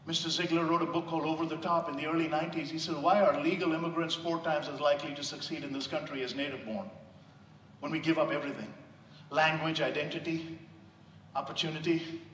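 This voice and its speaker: A man's voice: fairly high pitch, steady tone, fast talker, a little hiss or hum. A man from India with an Indian accent, giving an impassioned sermon-style speech as a motivational speaker. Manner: impassioned, rising to loud emphasis. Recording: echoey hall, distant mic.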